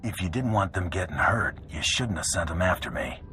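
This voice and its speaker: Deep, laconic bounty hunter. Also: male voice, a little fast. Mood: cold. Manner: laconic, calm, hard-boiled. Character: cool, tough male bounty hunter, quietly decent. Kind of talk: video game character acting. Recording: music behind the voice.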